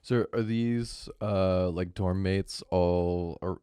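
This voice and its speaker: A male podcast host keeps the talk going with short, curious questions and quick reactions. His voice sits on the low side and sounds smooth, recorded dry and close. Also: fairly even intonation, warm tone, dry recording. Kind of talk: casual interview chat.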